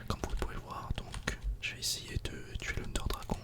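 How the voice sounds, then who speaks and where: A man softly whispering casual, informal ASMR commentary while playing a video game. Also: normal speed. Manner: soft-spoken, casual, informal.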